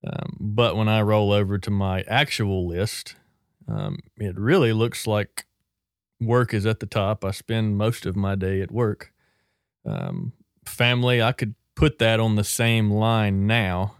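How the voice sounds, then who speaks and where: A man hosting a podcast, leading a reflective discussion in a plain-spoken, measured way. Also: lowish voice, fairly even intonation, clear, resonant tone, no echo, studio quality. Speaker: podcast host.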